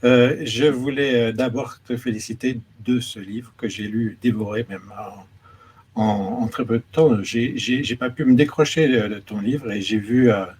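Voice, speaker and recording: A man's voice: mid-range voice, steady tone, moderate pace, a little mellow, slight background noise. A man interviewing an author, speaking hesitantly and repeating words as he builds his questions. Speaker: interviewer, education attaché. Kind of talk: moderated conversation. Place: New York.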